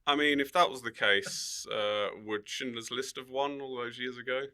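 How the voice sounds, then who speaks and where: A man's voice: medium pitch, steady tone, moderate pace, noisy background. A British man speaking as a comedy podcast guest, casual and conversational with a dry, tongue-in-cheek manner.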